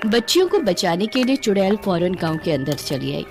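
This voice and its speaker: A woman's voice: medium pitch, sing-song, normal speed. A woman storyteller giving scripted narration of a children's bedtime story. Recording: music behind the voice.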